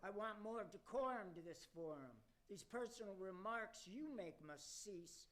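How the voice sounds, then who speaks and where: A man's voice: high-pitched, expressive, unhurried, a bit bright. A man playing a pompous devil who addresses demons in a formal, oratorical way in an amateur radio play. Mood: stern, pompous. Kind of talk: amateur radio theater acting.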